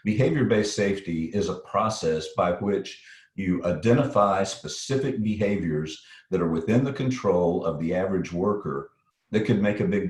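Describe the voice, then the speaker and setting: A middle-aged man with a slight Texan accent, answering interview questions in a practiced broadcast-style voice, pausing often with fillers. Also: low voice, darkish tone. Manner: thoughtful, with frequent fillers and restarts. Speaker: guest safety consultant. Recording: echoey room.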